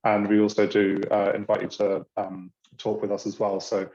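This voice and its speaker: A British man giving an online orientation talk for a university's international office, pausing often with ums and uhs. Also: fairly low pitch, monotone, mellow, lo-fi sound. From Britain. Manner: hesitant, many ums and uhs. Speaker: university international programs staff. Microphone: video call.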